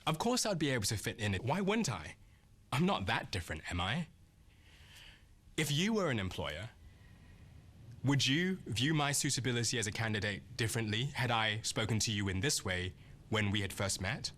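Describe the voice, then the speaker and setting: A man in his thirties, an accountant looking for work, giving an earnest, measured scripted monologue to the camera. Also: mid-range voice, sing-song, a bit bright. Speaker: accountant job seeker.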